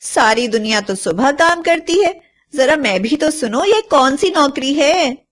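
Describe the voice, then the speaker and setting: A woman voicing a lonely, caring and anxious village mother, plaintive and expressive, in an animated moral story. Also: sits high, unhurried. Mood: curious.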